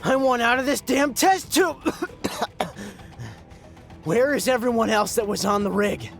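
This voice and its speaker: An actor playing a bitter, ailing oil rig worker held in quarantine in a horror audio drama. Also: male voice, very high voice, very animated, slow, gravelly, a bit bright, soft background music, heavily acted. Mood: angry, defiant. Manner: bitter, agitated, profane. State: coughing, ill.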